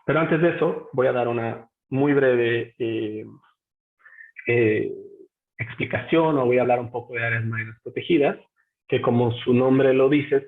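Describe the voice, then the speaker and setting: A man, a marine researcher, giving an online academic presentation from home in a measured, explanatory way, pausing to find his words. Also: medium pitch. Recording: tinny phone-like webinar audio.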